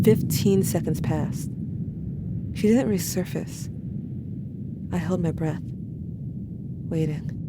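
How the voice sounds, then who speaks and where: A woman's voice: fairly low pitch, background noise, lightly acted. A young woman playing a wistful, self-doubting teenager, narrating memories in an intimate, wry audio drama monologue. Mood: nostalgic.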